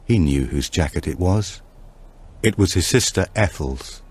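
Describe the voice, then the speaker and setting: A man with a deep voice narrates a suspense thriller audiobook. His reading is scripted and measured, with deliberate phrasing. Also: expressive, slightly rough.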